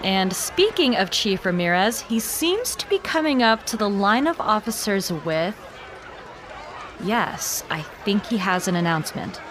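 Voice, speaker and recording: An actress playing a keen local news field reporter in an audio drama, probing and urgent. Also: female voice, medium pitch, expressive, a little slow, noisy background, natural voice.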